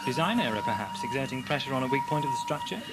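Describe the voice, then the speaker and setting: A performer playing an inquisitive, wry scientific investigator in an audio drama, brisk and authoritative. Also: medium pitch, wildly swooping pitch. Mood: curious, wry. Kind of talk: radio drama acting. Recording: music behind the voice.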